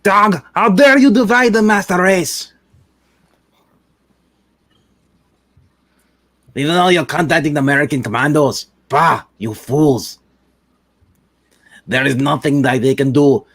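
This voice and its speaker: A man voicing an arrogant, cruel Nazi officer villain, barking and shouting threats in a comic-book read-through. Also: on the high side, normal speed, no echo. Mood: ranting.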